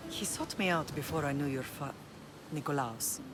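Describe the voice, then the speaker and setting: An actress playing a middle-aged mother who leads a Greek island, speaking in a measured, earnest, formal way in a dramatic game scene. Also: sits low, background noise, natural voice. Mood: tender regret. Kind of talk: dramatic game cutscene acting. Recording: music behind the voice.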